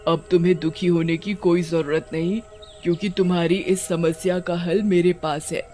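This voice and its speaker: A man voicing a kindly, motherly river witch who speaks gently and reassuringly in an animated fairy tale. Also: high voice, normal speed, soft background music, lightly acted. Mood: gentle, reassuring.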